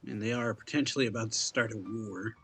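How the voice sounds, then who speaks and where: A man giving casual, laid-back gaming commentary as a reaction streamer, halting and trailing off. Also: lowish voice, fairly bright tone, breathy edge, soft background music.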